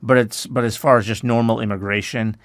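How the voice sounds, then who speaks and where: A man from the United States hosting an educational podcast, explaining things in a relaxed way with many "I mean"s and restarts. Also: mid-range voice, a little fast, dry recording. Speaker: podcast host.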